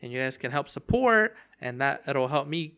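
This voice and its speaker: A man's voice: mid-range voice, expressive, normal speed, pushed and bright. A young man hosting a casual solo podcast about a game, rambling with lots of fillers and restarts, his voice strained and cracking from long talking. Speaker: podcast host.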